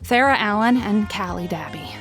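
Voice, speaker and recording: A woman's voice: medium pitch, animated, moderate pace, a bit bright, soft background music, close to an everyday voice. An actress playing a flustered, lovelorn young woman who hosts a lonely-hearts show in an audio comedy drama, with an exasperated, dramatic delivery. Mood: chipper announcing.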